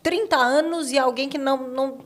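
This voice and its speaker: A woman's voice: sits high, brisk. A woman with a Brazilian accent hosting a conversational interview, posing reflective questions with emphasis. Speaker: podcast host, interviewer.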